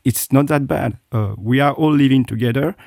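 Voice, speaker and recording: Man, middle-aged, hesitant guest, warm voice. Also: medium pitch, moderate pace, vocal fry, no echo, clean studio sound. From South Korea. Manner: hesitant, many fillers and restarts. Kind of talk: interview, personal account.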